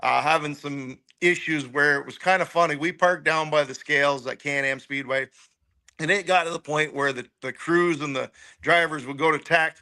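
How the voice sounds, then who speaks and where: Middle-aged male guest, slightly high and bright voice, opinionated, self-deprecating, talking racing casually. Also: moderate pace. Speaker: guest, race car crew member. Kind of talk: casual interview chat about racing.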